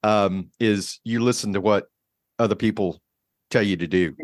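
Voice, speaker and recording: A man hosting a casual interview, chatty and relaxed, who once worked on cruise ships. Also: fairly low pitch, normal speed, no echo, studio quality. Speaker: host, former cruise ship worker.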